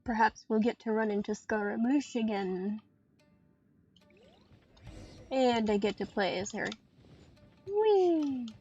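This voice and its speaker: A woman's voice: a little high, animated, slow, long pauses, warm tone, lo-fi sound, faint music behind. A woman giving casual, offhand live commentary on a game she is playing, close to the mic. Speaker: game streamer.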